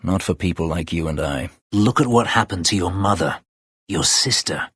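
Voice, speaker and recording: An actor voicing a brooding, bitter elf warrior and escaped slave in a video game, grave, sardonic and measured, with a husky, gravelly voice. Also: male voice, deep voice, animated, fairly bright tone, no echo, studio quality, heavily acted. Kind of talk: video game character acting.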